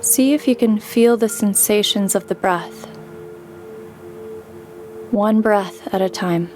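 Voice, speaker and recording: A woman leading a guided meditation with calm, gentle instruction and pauses between phrases. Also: mid-range voice. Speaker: meditation guide, yoga teacher. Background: background music.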